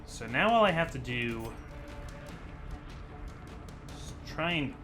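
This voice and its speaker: A man's voice: medium pitch, big pitch swings, slow, over music. A man giving casual, rambling commentary as the host of a gaming video, chatty and digressive as he thinks aloud.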